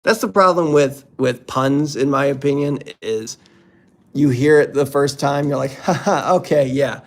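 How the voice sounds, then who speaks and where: A man's voice: mid-range voice, unhurried. A man hosting a casual unboxing commentary, talking in a playful, jokey, exclamatory way. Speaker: host opening card packs.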